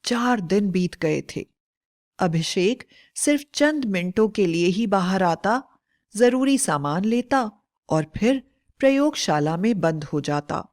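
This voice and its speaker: A woman tells a scripted story for children. Her pace is slow and deliberate, in a medium-pitched voice, as a storyteller narrating a moral tale. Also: expressive, a little mellow.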